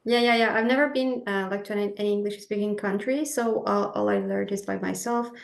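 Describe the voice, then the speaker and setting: A woman from Iran talks as a guest on a panel. Her voice has a gravelly, creaky edge, and she speaks earnestly, pausing on frequent fillers. The sound is echoey, as though she is in a bare room. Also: medium pitch, fairly even intonation. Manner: hesitant, many fillers, earnest. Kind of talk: casual conversation.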